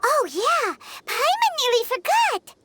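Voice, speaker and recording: A woman voicing a tiny, perky, childlike fairy companion in an anime-style video game, with a squeaky voice. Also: big pitch swings, takes it slowly, very noisy, exaggerated voice. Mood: chirpy, earnest. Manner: refers to self in third person, perky.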